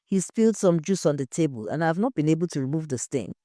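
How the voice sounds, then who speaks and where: Woman acting an emphatic, expressive married lady. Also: low-pitched, fast talker, dry recording, studio quality. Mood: gracious. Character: gracious married hostess, grieving friend, defensive when questioned.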